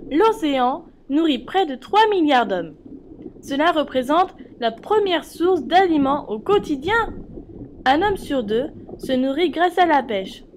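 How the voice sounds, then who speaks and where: High-pitched woman narrating for children. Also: very animated, a little slow, slight background noise. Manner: addresses viewers directly, explanatory. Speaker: narrator of an educational cartoon. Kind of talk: scripted educational narration for children.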